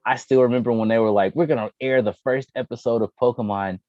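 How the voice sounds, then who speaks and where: A man chatting casually as a gaming show host, slangy and opinionated, given to excitable interjections. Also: mid-range voice, fast talker. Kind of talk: casual opinionated chat about video game movies. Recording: dull, echoey room, faint music behind.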